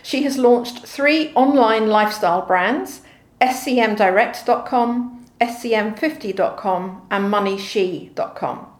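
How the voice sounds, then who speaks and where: A woman's voice: fairly high pitch, unhurried, reverberant. A woman hosting an interview show, reading a scripted introduction and reacting with effusive, enthusiastic interjections. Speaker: host.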